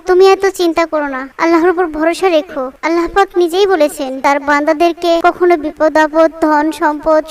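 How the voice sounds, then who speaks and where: Woman voicing a very high-pitched devout cartoon wife. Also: far from the mic. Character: fisherman's devout, patient, consoling young wife. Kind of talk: dramatic cartoon dialogue.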